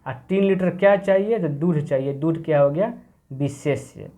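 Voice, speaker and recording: A man's voice: on the high side, moderate pace, dark tone. Man teaching grammar to young children. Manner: asks questions and answers them himself, repeating key words; mixes English words into the explanation. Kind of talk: explaining a grammar workbook to children.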